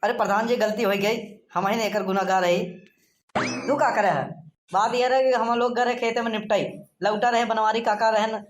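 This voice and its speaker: A woman's voice: fairly high pitch, steady tone, moderate pace, slight background noise, lightly acted. A woman voicing bickering village males in a comic cartoon, in an argumentative, blustery way. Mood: explaining, apologetic. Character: rustic village males, cheeky, bickering, easily offended.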